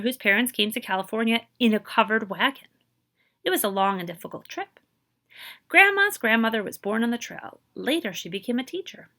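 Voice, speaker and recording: Woman, bright forward voice, lively intonation, expressive children's read-aloud, bilingual English and Spanish, emphatic storytime delivery. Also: mid-range voice, gravelly. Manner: animated, emphatic delivery for young listeners; switches between English and Spanish. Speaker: librarian reading a children's book aloud. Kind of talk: expressive picture-book read-aloud with translations and asides.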